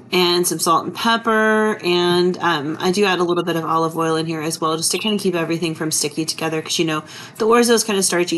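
Woman in her thirties, laid-back vlogger voice, lower register, faint room hum. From Texas. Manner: chatty, relaxed, full of fillers. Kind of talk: casual kitchen vlog narration.

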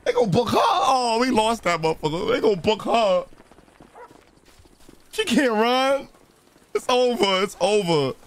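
A man's voice: sits high, very animated, measured pace, long pauses, slight background noise. A man live streaming a roleplay game, talking in profane street slang and repeating his phrases. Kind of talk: excited in-character gaming chatter in street slang.

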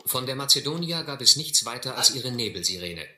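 Reflective narrator, very bright voice. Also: male voice, mid-range voice, big pitch swings, faint music behind. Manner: measured, literate storytelling. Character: reflective educated seaman recalling his voyage. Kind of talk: scripted radio play narration. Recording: echoey old recording with faint noise.

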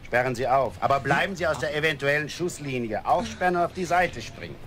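An actor playing a brisk, sceptical policeman in a radio play, matter-of-fact and commanding. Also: on the high side, lively intonation, noisy background, close to an everyday voice.

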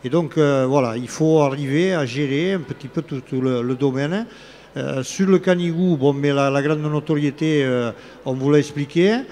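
Hesitant older man, slightly high voice. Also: normal speed, a little mellow, slight background noise. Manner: hesitant, many fillers and restarts. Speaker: local elected official. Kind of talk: live talk-show interview. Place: open studio set at a trade fair hall. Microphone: red handheld microphone. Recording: faint fair hall ambience.

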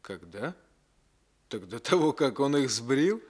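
An actor in a 1960s radio play, playing a nervous, evasive ex-convict under questioning who hesitates and repeats himself. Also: male voice, mid-range voice, big pitch swings, takes it slowly, slightly rough, full character voice. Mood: cagey. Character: cagey, nervous male ex-convict crime suspect under questioning.

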